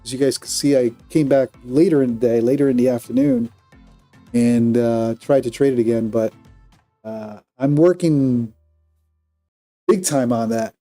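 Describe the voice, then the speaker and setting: A man's voice: medium pitch, measured pace, dark tone, soft background music. A man from Colombia with a Colombian accent, commenting on his trades during a live stream and answering viewer chat in a candid, self-critical way.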